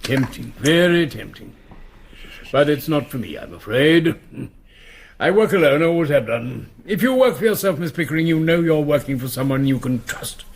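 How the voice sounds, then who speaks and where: Pompous english colonel, bit high for a man, gravelly creak, clipped n wary, radio play. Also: animated, normal speed. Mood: courteous, wary. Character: dignified, wary ex-army colonel turned lone crook. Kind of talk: British radio drama acting.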